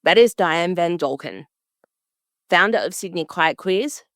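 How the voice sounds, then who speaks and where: A woman's voice: on the low side, lively intonation, a little slow, fairly bright tone, no room sound, studio quality. A woman reading scripted narration for a radio feature as its producer.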